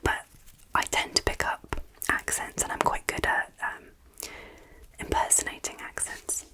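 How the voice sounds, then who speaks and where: An English woman from Yorkshire whispering softly in an ASMR Q&A, teasingly repeating her questions. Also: a little slow, rough recording. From England, Yorkshire. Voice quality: soft unvoiced whisper. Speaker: ASMR creator.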